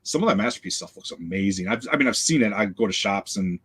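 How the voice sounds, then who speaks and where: Middle-aged male host, low-pitched, casual and rambling, easygoing home chat. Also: expressive, quick pace, a bit bright, breathy edge. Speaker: podcast host. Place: home collection room.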